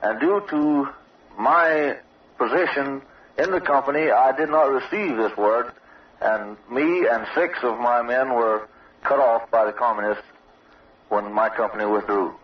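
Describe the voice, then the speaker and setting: A male sergeant gives matter-of-fact, formal military answers in an interview. His pitch is middling. The vintage field recording is muffled, with him a little off the microphone. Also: measured pace. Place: outdoor observation post. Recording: dull, distant old radio field recording.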